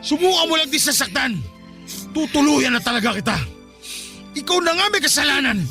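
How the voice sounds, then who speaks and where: A grieving, furious father in a radio melodrama. His voice is pitched extremely high and rings very bright and forceful. He delivers everything with anguish and accusation, over faint music. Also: animated, fairly quick, full character voice. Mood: furious, accusing. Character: grieving, furious working-class father blaming his wife. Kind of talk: melodramatic radio drama acting.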